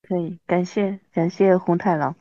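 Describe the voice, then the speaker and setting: A woman's voice: fairly low pitch, steady tone, moderate pace, dry recording, highs cut off. A woman in her thirties hosting a casual chat with an old friend, with a wry, self-mocking manner. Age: 30s. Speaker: podcast host.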